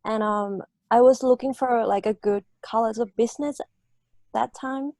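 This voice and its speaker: A woman's voice: on the high side, fairly even intonation, moderate pace, a little mellow, no echo. A woman in her early twenties from Thailand with a Thai accent, answering informally as a student panelist. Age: early 20s.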